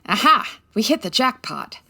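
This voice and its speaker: A brisk, bossy private eye in a comic forties radio play, played by a woman. She gives orders confidently, with swooping, lively intonation. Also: fairly high pitch, gravelly, music in the background. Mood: confident scheming. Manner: take-charge, commanding. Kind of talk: comedic 1940s radio detective acting.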